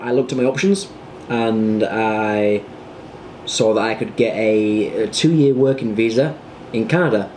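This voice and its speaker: A man's voice: fairly low pitch, darkish tone, a little hiss or hum. A British man in his twenties, chatting casually to the camera in a rambling vlog style with plenty of fillers. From United Kingdom. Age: 20s. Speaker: vlogger.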